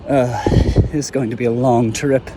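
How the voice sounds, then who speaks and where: Weary, condescending villain, played by a man, pitched down, dry and measured, audio drama, slight background noise. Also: fairly even intonation, close to an everyday voice. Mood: weary disbelief. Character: haughty, weary male villain, cynical and coldly formal.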